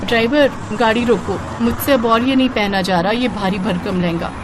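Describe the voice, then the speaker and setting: A woman's voice: a little high, steady tone, moderate pace, background noise, natural voice. A woman voicing a bold, modern young bride in a cartoon, assertive and complaining. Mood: impatient.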